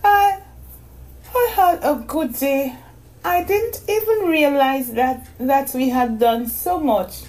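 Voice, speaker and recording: A woman's voice: high voice, darkish tone, low-quality recording. A woman voicing a sleepy young girl who stammers and yawns through her words. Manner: stammering, breathy, drawn-out yawning speech.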